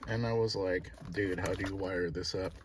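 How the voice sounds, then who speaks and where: Low-voiced man in casual garage vlog. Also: fairly even intonation, moderate pace, noisy background. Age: late 30s. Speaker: car restoration vlogger.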